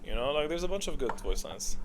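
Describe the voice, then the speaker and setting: A man's voice: on the high side, sing-song, brisk, background noise. A man giving casual, unscripted gaming commentary, thinking aloud in an opinionated, rambling way. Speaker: video game commentator.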